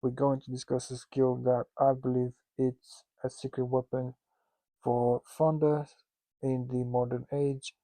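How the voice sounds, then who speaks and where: Russian-accented guy teaching, dry close sound, kinda flat and monotone, jokey asides, lots of uhs and restarts. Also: medium pitch, no echo. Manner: joking and self-mocking, with loose asides; halting, with many restarts and fillers. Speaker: instructor teaching founders. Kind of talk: casual spoken lesson.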